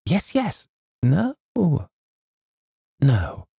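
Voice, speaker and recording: A man's voice: fairly high pitch, wildly swooping pitch, extremely slow, vocal fry, mellow, husky, no echo, clean studio sound, voice pushed up, full character voice. A man voicing a bluff, sentimental old English colonel in an audiobook, hesitant and repeating himself as he nobly nurses a broken heart. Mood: wistful, resigned. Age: older. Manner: hesitant, repeats himself, stumbles over names. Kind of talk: theatrical audiobook character voice.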